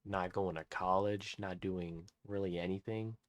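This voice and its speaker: An eighteen-year-old man ranting excitedly in a personal vlog, amazed and repeating himself, often restarting sentences. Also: sits low, unhurried, slight background noise. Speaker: vlogger.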